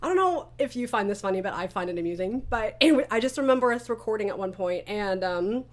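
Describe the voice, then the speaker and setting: A woman's voice: medium pitch, expressive, fast. A woman chatting casually as a director and interviewer, with an enthusiastic, giggly manner. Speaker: audio drama writer, director and interviewer. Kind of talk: casual chat about a creative project.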